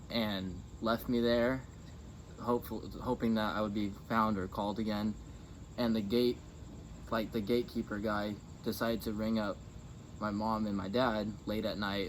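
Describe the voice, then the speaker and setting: Young adult male guest, lower register, informal and hesitant storytelling, light background noise. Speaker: interview guest. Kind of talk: casual personal storytelling. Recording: clearly noisy background.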